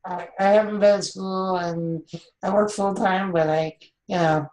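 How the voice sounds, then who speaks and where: A woman's voice: medium pitch, steady tone, a little slow, darkish tone, roomy sound, rough recording. A blunt woman chatting on a group call, outspoken and free with swearing. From New York State. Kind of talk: casual chat.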